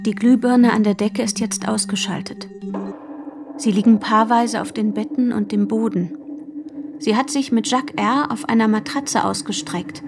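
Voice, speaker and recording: A woman's voice: a little high, fairly even intonation, normal speed, over music, natural voice. An actress playing a candid young woman in a radio drama reading. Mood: detached, intimate.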